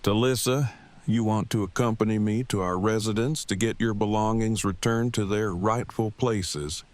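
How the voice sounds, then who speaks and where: An actor playing a wordy, earnest survivor in an audio drama, with stilted, formal and deliberate diction. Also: male voice, lowish voice, steady tone, a little mellow, no room sound, natural voice. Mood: matter-of-fact. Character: verbose, formal, earnest man in love, post-apocalyptic survivor.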